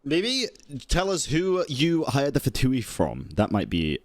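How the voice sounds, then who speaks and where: A man's voice: medium pitch, huge pitch swings, a little slow, no echo. A young man giving casual live reaction to a video game, blunt, slangy and sweary. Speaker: streamer. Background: game music.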